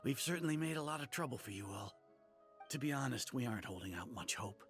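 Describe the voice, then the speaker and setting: Casting: soft-hearted game shopkeeper, mid-pitched and gravelly, weary, sincere, a little hesitant, music underneath. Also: male voice, lively intonation, fast talker. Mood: weary, discouraged. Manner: hesitant, apologetic, earnest. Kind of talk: video game voice acting.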